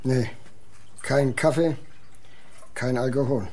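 An actor playing a plain-spoken, resigned truck driver grumbling colloquially in a radio play, with a gravelly voice. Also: male voice, medium pitch, steady tone, slow pace, dark tone, slight background noise. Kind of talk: German radio drama acting.

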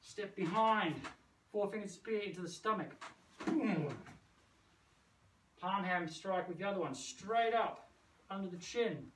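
A man's voice: sits high, measured pace, long pauses. A middle-aged man teaching martial arts moves in a casual, playful way while moving about, punctuating his instructions with sound words. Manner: physically active while talking. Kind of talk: hands-on demonstration, casual teaching. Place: covered brick veranda outdoors. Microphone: camera several metres away. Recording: distant camera mic, roomy, noisy.

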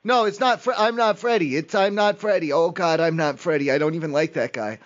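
A man's voice: a little high, a little fast. A man making an improvised prank phone call, rambling, stammering and pestering whoever he has reached. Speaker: prank caller.

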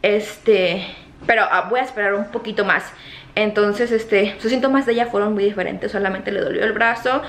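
A woman's voice: mid-range voice, moderate pace. Chatty Mexican woman vlogging in her kitchen. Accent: Mexican Spanish, softened toward neutral. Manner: chatty, animated hand gestures, many fillers and repeated words. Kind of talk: casual talk to camera. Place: home kitchen, indoors. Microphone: camera close to face. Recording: echoey kitchen, faint room hum.

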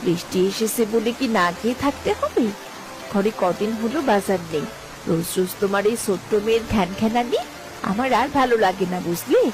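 A woman's voice: mid-range voice, brisk, natural voice, poor audio. A woman playing a nagging village wife and mother in a cartoon, scolding and insistent, over music and rain. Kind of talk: Bengali cartoon voice acting.

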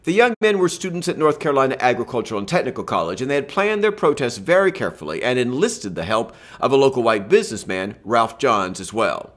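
An older man presenting a history lesson in scripted narration from a room at home, in a measured, expressive storytelling manner. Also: mid-range voice. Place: home room with bookshelf and lamp.

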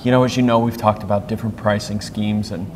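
A man's voice: fairly low pitch, steady tone, fast, a little mellow. A man in his fifties giving conversational interview answers, plain-spoken and explaining through stories and analogies. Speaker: interview guest, industry executive. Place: hotel conference corridor, indoors. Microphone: clip-on wireless lapel mic. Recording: long hall reverb, faint background noise.

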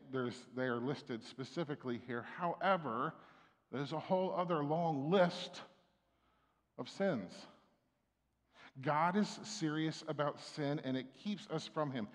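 Middle-aged male pastor in a reverberant church. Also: fairly high pitch. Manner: conversational preaching with frequent restarts and fillers. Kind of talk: sermon. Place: church sanctuary. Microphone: stand mic at pulpit, far camera.

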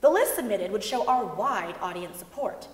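A woman's voice: medium pitch, animated, moderate pace. A young woman giving an emphatic dramatic reading of a first-person account on stage. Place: large concert hall stage, seated at music stand, before an audience. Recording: echoey concert hall.

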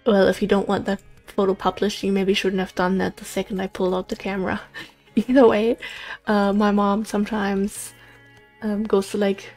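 A woman's voice: medium pitch, little pitch movement, moderate pace, darkish tone, faint music behind. A woman in her twenties chatting casually and telling stories while playing a game, with frequent giggles. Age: 20s. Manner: chatty, storytelling, giggly asides. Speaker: gaming channel host. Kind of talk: casual let's play commentary. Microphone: USB condenser mic.

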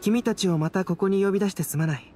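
A man's voice: high-pitched, quick pace, darkish tone, close to an everyday voice. Man playing a composed, thoughtful young scholar. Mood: pensive. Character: calm, cerebral young male scholar. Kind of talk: Japanese anime game voice acting. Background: background game music.

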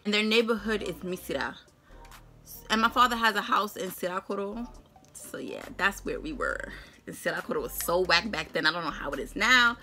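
A woman's voice: medium pitch, lively intonation, brisk, sharp tone, faint music behind. Playful slangy New woman vlogger. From Brooklyn, New York. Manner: playful, laughs often, slangy. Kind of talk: casual chat to camera. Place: bedroom. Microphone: camera in front of her.

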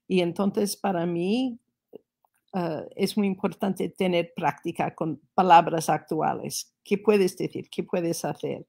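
Slow, gravelly older woman on a video call. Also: lowish voice, darkish tone. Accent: learner's Spanish with a Spain-style z. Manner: hesitant, searching for words, many fillers. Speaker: guest speaker, education researcher. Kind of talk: online book launch talk. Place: living room at home, on a sofa. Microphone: laptop webcam video call.

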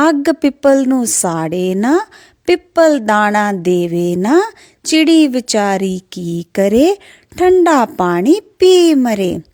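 A woman telling a children's folk tale in an expressive, rhythmic sing-song way. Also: on the high side, no echo, studio quality. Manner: expressive, singsong, repetitive rhythmic delivery. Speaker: storyteller.

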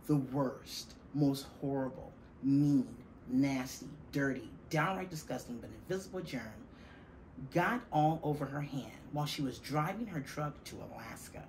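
A middle-aged woman reading a children's picture book aloud, deliberately and with dramatic stress on key words. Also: a bass voice, darkish tone, low-quality recording. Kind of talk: expressive children's storybook reading. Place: small classroom, indoors. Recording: faint room noise behind the voice.

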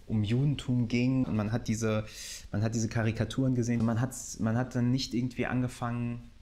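A man's voice: mid-range voice, little pitch movement, brisk, dark tone. A man giving colloquial, reflective personal testimony, restating phrases as he thinks aloud. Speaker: interviewee, actor.

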